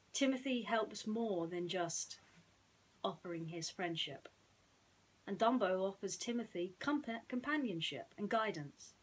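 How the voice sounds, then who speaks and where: Woman, storyteller, breathy husky voice, medium pitch, bedtime story delivery. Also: low-quality recording. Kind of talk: bedtime story narration and gentle reflection.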